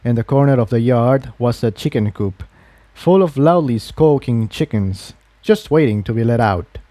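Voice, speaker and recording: A man's voice: mid-range voice, lively intonation, normal speed, dark tone, no echo. A man reading a fantasy adventure story aloud as its narrator. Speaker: fan fiction narrator.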